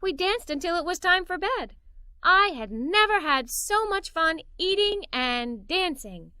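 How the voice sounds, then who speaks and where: Very bright, high-pitched woman storyteller. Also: a little slow, no room sound, studio quality. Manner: animated, playful, emphatic. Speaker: children's story narrator. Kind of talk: expressive read-aloud storytelling in a young girl's first person.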